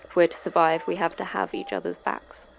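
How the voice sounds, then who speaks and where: A woman's voice: on the low side, level tone, brisk, creaky, a little hiss or hum, rough recording. A woman playing the terse, stern manager of a secret facility in a sci-fi horror audio drama, speaking in clipped, commanding instructions. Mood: grave, instructive.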